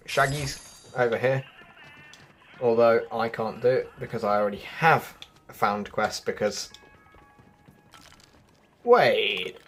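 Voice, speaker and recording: A man giving casual, chatty gaming commentary, addressing his viewers directly as a video host. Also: mid-range voice. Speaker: video game news YouTuber. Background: background music.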